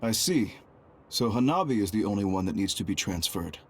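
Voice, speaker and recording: Guy playing a low voiced young double agent, calm n formal, very serious, anime game cutscene style. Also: close to an everyday voice. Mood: calm, businesslike. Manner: measured, formal, grave. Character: composed, calculating young male double agent, quietly remorseful.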